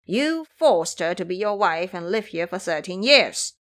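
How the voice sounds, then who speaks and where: A woman's voice: lowish voice, lively intonation, a little slow, fairly bright tone. Woman doing brash dopey pig monk guy, boastful and blunt, audio drama. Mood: commanding. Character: brash, foolish male pig-monk warrior.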